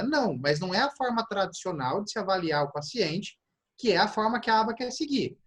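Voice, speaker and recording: Animated male acupuncture teacher. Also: sits high. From Paraná, Brazil. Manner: animated, acts out patients' complaints. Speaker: guest professor of acupuncture. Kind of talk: explanatory teaching talk.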